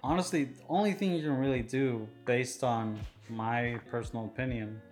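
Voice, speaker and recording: A man hosting a casual podcast, talking in a relaxed, reflective way as he gives advice. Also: medium pitch, moderate pace, soft background music. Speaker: podcast host.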